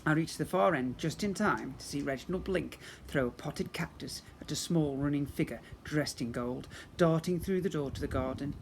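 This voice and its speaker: A man reading a children's storybook aloud as a teacher, in an expressive, dramatic storytelling manner. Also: on the high side, normal speed, creaky, few pauses, background noise.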